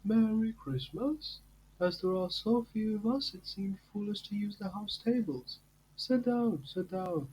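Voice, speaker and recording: A man playing a genial elderly wizard headmaster in an audio drama, with a husky, soft-edged voice. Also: sits high, animated, unhurried, exaggerated voice, poor audio. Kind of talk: fan audio drama acting.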